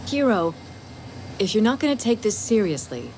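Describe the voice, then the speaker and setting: A woman's voice: medium pitch, very animated, normal speed, darkish tone, a little hiss or hum. An actor playing a driven, no-nonsense woman racing pilot in a game, earnest and mentoring. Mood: firm, spirited. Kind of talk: video game cutscene acting.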